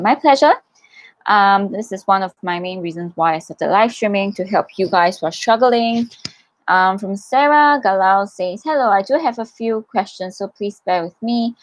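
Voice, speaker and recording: A woman's voice: mid-range voice, dry recording. Chatty young woman hosting a livestream. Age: young adult. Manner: chatty and encouraging, many fillers and self-corrections. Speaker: livestream host answering scholarship questions. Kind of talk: casual livestream Q&A, reading viewer comments aloud.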